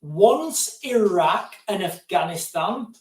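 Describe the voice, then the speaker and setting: Rambling high-voiced man live-streaming. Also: lively intonation, slow pace, a little mellow. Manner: digressive, keeps addressing viewers as guys. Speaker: live-stream host answering viewer questions. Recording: roomy sound, distant from mic.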